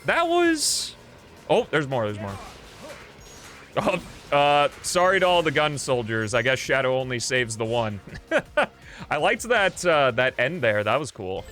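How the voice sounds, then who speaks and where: A man's voice: mid-range voice, very animated, unhurried, fairly bright tone. A man hosting a livestream, giving casual, rambling gameplay commentary as he thinks aloud in an informal way. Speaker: livestream host. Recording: game music behind the voice. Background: video game music.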